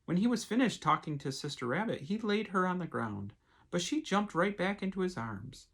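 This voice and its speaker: A male pastor speaks as if to children at storytime. His pitch rises and falls in an animated way, and his voice is somewhat husky. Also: moderate pace. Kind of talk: expressive storybook read-aloud with casual asides.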